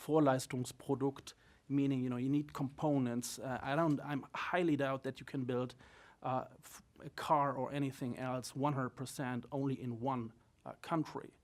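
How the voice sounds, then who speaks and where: A man speaking on a policy panel, his remarks halting with frequent uhs and a skeptical tone. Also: mid-range voice, steady tone. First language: German. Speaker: business representative on a panel.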